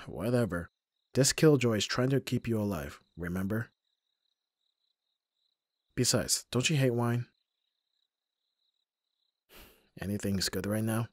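A man performs a young male bodyguard in an ASMR roleplay, abrasive on the surface and soft underneath. His voice is fairly low and husky, with exasperated, protective delivery. The recording is dry, with no room sound. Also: a little slow, long pauses, no room sound. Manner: gruff exasperated sighing, protective. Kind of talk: ASMR roleplay audio drama, acting to the listener.

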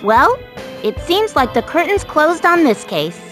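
An actress playing a sharp, confident young mystery sleuth in a cartoon video game. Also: female voice, high-pitched, sing-song, unhurried, over music.

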